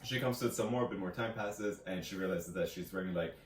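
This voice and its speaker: A man's voice: low voice, fast. A man chatting casually as a vlog co-host, retelling a story in a rambling, hesitant way. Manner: rambling, hesitant, quoting characters in casual asides. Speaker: co-host of a book discussion vlog. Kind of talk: casual chat, retelling a novel's plot. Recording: echoey room, faint background noise.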